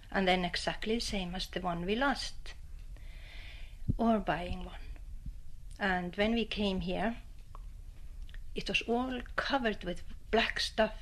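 Woman talking in english, earnest and plain, bit halting. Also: mid-range voice. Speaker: interviewee, local resident. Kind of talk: interview recollection.

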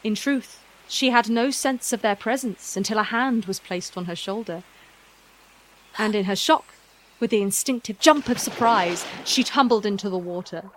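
Woman telling a scripted fairy tale, mid-pitched voice, some ambient noise behind her. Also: normal speed, poor audio. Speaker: storyteller narrator.

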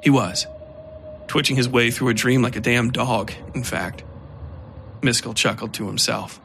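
A man reading scripted dark fiction as the narrator. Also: mid-range voice, fairly even intonation, unhurried, vocal fry, a little hiss or hum. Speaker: narrator and writer. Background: guitar music.

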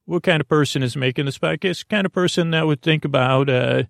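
A man hosting a sleep podcast, delivering a whimsical, rambling monologue in a halting, repetitive, meandering way with a creaky voice. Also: on the high side, no echo, studio quality. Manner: meandering, halting and repetitive, drifting between tangents. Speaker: host of a bedtime sleep podcast.

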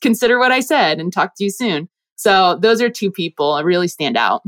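A woman from New Jersey in her thirties, a bubbly investor talking as a podcast guest, her voice leaping up when she quotes people. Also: brisk. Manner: bubbly, animated, jumps up in pitch when quoting people. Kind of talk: podcast interview.

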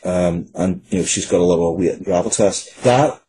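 A man in his forties speaks as an interview guest in a low, soft-edged voice. He is earnest and often restarts his phrases. There is faint noise behind him. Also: fairly quick, rough recording. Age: 40s. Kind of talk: conversational interview answers.

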